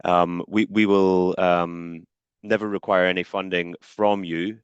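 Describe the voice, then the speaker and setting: A man's voice: sits low, little pitch movement, measured pace, clean tone, no room sound, lo-fi sound. A man hosting a video-call webinar, politely moderating questions with frequent hesitations. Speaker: webinar host.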